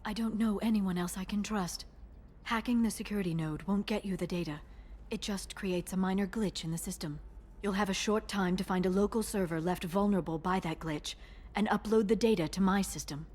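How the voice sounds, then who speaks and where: A woman's voice: mid-range voice, brisk, darkish tone, close to an everyday voice. Guarded alien information broker, voiced by a woman. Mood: earnest, businesslike. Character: guarded alien female information broker, earnest, quietly menacing when crossed. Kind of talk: video game dialogue acting.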